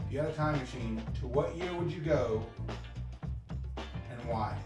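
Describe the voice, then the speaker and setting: A man's voice: medium pitch, a little slow, reverberant, music in the background. A man co-hosting a podcast, quizzing his co-host in a jokey, teasing way. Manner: jokey, teasing, loud asides.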